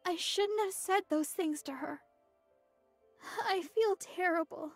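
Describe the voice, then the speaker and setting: A very high, slow young woman's voice for a grieving anime game soldier. She is halting and vulnerable, heard close and dry. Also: no echo, faint music behind, exaggerated voice. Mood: remorseful.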